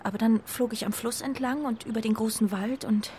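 Woman playing proud shaken lady pigeon, earnest n hesitant, goes hushed, kids radio play. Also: a little high, steady tone, fairly quick, a little hiss or hum, close to an everyday voice. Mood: frightened, grieving. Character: earnest, proud young female racing homing pigeon, shaken. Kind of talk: children's radio play acting.

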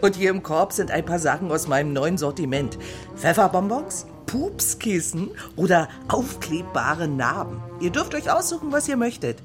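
A woman's voice: fairly low pitch, big pitch swings, normal speed, over music. Lilting motherly joke-shop lady. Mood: friendly, coaxing. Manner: sing-song, coaxing. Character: cheerful, motherly joke-shop lady fussing over children. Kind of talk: children's radio play acting.

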